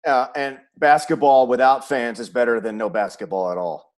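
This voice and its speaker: A man's voice: mid-range voice, expressive, normal speed. An American man hosting a sports interview series, putting questions in a conversational, announcer-like way. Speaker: web series host, sports play-by-play announcer.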